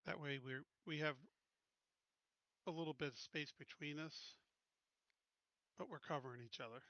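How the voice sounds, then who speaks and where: A man's voice: fairly high pitch, normal speed, fairly bright tone, dry recording, lo-fi sound. A man playing a tabletop game, casually organizing and directing the other players. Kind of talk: casual game strategy talk.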